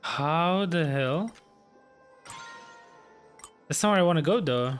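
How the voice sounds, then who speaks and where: Higher-pitched man casually commentating gameplay. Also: expressive, slow. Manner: muttering to self, questioning. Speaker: game streamer. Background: game music.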